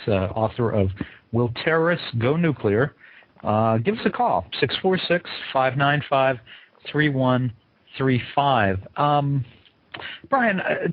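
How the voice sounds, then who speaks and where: A man's voice: mid-range voice, no echo. American male radio host, phone-like sound. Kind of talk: casual call-in interview hosting.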